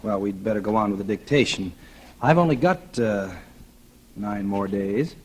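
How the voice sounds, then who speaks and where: A man's voice: fairly low pitch, animated, normal speed, close to an everyday voice. An actor playing a cynical, scheming male writer in a 1940s radio drama, talking in a colloquial, calculating way. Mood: wry, calculating. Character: smooth scheming male writer, cynical opportunist courting a dying heiress. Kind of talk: 1940s radio drama acting, first-person noir narration. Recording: noisy vintage radio recording.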